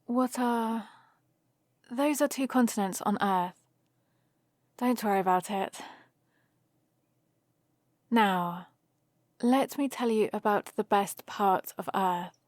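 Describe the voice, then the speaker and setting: Woman acting as a nerdy young fantasy heroine, cheerful, friendly rambling, unhurried pace, close dry sound, ASMR roleplay. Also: medium pitch, dry recording, natural voice. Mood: eager explaining. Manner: rambling, friendly explaining with gentle excitement. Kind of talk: ASMR roleplay addressed to the listener.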